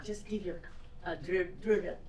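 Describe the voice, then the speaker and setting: Older Filipino woman, guest at a fireside chat, lively storyteller, heard from afar in an echoey hall. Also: fairly low pitch, unhurried, distant mic. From Philippines. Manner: animated storytelling, acting out quoted remarks. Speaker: guest speaker. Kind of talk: fireside chat answering audience questions. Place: event hall.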